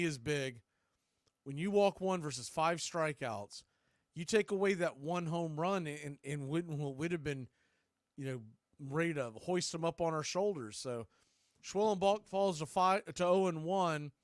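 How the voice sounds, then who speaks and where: A man's voice: fairly high pitch, measured pace, no echo, studio quality. A man hosting a baseball fan show, giving casual, folksy postgame commentary. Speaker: host of a baseball fan radio stream.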